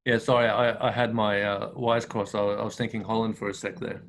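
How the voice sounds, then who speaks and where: A man's voice: lowish voice, little pitch movement, a little fast. A man hosting a casual interview, asking questions hesitantly with frequent fillers. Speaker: podcast host, interviewer.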